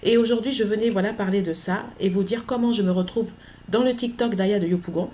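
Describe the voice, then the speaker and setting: A middle-aged woman, talking earnestly in a personal vlog, pausing often with hesitant fillers. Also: mid-range voice, steady tone, mellow, slight background noise. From Côte d'Ivoire. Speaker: author.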